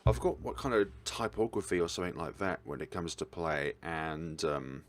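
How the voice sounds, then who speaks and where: A man's voice: low voice, animated, moderate pace. A man giving casual, informal commentary as a reviewer, talking in a rambling way. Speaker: visual novel reviewer.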